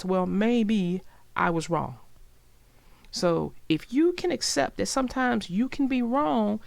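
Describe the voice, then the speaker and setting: A woman reading a children's story aloud and then talking gently about its lesson. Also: mid-range voice, gravelly, no room sound. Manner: gentle, reflective, explains morals.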